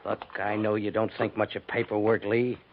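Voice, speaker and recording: An actor playing a stern, harried army major with an official, deliberate delivery in a 1950s radio western. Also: male voice, lowish voice, flat delivery, close to an everyday voice. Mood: exasperated. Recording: dull 1950s radio recording.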